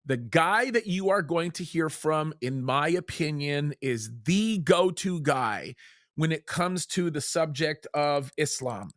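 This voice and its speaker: A man hosting a ministry video, introducing his guest in an energetic, hyped-up, preacherly way. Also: fairly high pitch, slow pace, no room sound, clean studio sound. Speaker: show host.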